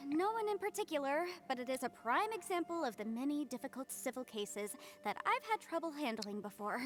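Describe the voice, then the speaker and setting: High-voiced thoughtful legal advisor. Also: female voice, expressive, normal speed, hardly any pauses. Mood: weary, reflective. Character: polite, thoughtful young female legal advisor.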